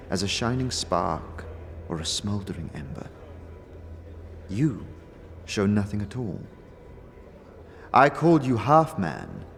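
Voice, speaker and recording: A man puts on a solemn, scornful mystic lady for a fantasy audio drama. Her speech is formal and measured, broken by dramatic pauses. The intonation rises and falls widely, over a noticeable noise bed. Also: on the low side. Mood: cryptic, solemn. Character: solemn, aloof mystic noblewoman, grave and scornful.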